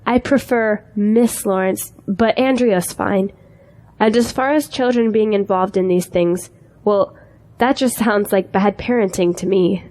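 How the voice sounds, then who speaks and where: A woman's voice: mid-range voice, measured pace, a little mellow, lightly acted, low-quality recording. A woman playing an eager, idealistic young schoolteacher in an audio drama, with an earnest, polite way of talking. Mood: firm.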